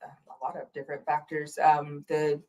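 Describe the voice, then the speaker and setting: Casting: low-pitched woman co-host, chatty and playful, slight allergy rasp. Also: flat delivery, moderate pace, a little mellow. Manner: chatty, playful, lots of agreeing fillers. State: slightly raspy throat from allergies. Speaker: dental office staff co-host. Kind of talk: casual chat.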